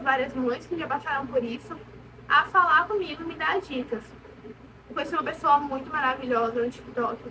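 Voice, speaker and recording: A young Brazilian woman with a high voice speaks casually and candidly, as a vlogger sharing her own experience. She leans on repetition for emphasis. The recording sounds distant from the mic, with clear room noise. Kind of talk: casual personal storytelling to camera.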